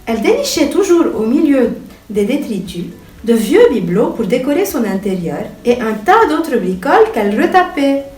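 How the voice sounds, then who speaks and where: Animated high-voiced woman reading a bedtime story. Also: moderate pace. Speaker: storyteller reading to a young child. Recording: echoey room, distant mic, music behind.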